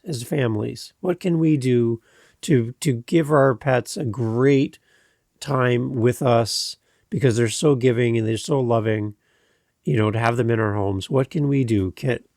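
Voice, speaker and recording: A man's voice: medium pitch, creaky, a little mellow, no room sound, clean studio sound. A man hosting a podcast interview in a conversational, effusive and emphatic way. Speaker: podcast host.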